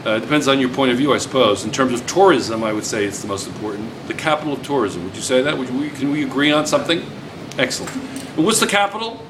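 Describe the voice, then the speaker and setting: A man's voice: medium pitch, fast talker. A man teaching a class, chatty and teasing, repeating his students' answers back to them. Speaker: physics teacher. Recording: noisy classroom recording.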